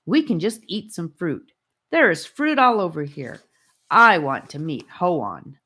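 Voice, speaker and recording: A woman's voice: fairly low pitch, sing-song, unhurried, bright, forceful tone, husky, heavily acted. A woman voicing an eager, impatient young boy in a storybook, speaking urgently and insistently. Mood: indignant.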